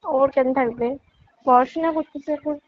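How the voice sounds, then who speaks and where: A woman's voice: high-pitched, fairly even intonation, normal speed, a little hiss or hum. A young woman, chatting casually with a friend over a lo-fi phone call. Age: young adult. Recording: dull lo-fi phone call audio.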